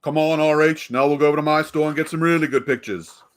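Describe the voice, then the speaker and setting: A man performs a brash, competitive store owner in a forties radio drama. He talks quickly in a slightly high voice. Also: steady tone, lightly acted. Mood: jovial needling. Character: brash, competitive male rival store boss. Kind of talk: 1940s radio acting.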